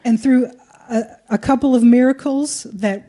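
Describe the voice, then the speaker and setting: A woman's voice: sits high, steady tone, measured pace, dark tone. A woman preaching a sermon in short phrases, in a conversational, colloquial way. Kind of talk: sermon delivered in short phrases for live interpretation.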